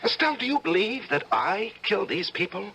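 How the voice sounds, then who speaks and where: An actor playing a patronizing, coaxing middle-aged judge who hides murderous urges, in old-time radio drama style. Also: male voice, high-pitched, sing-song, a little fast, creaky, sharp tone, husky, exaggerated voice, rough recording. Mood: defensive.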